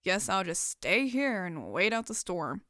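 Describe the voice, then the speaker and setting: A woman's voice: medium pitch, sing-song, measured pace, background noise. A woman playing a headstrong, sulky young pegasus daughter in a fantasy audio drama. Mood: grumbling. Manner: petulant, grumbling.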